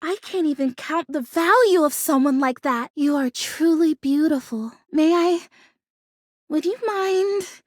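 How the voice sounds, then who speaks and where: A woman voicing a sweet, plucky, excitable talking houseplant in a video game, with earnest, gushing delivery and quaint folksy exclamations. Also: high voice, unhurried, dry recording, clean studio sound. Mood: excited, overjoyed. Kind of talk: video game character acting.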